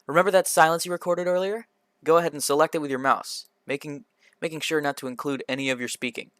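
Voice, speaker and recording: A man presenting a scripted software tutorial in an instructional, step-by-step manner, occasionally stumbling over a word. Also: on the high side, fairly even intonation, fast, dry recording, clean studio sound. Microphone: computer microphone in a quiet home room, voice-over.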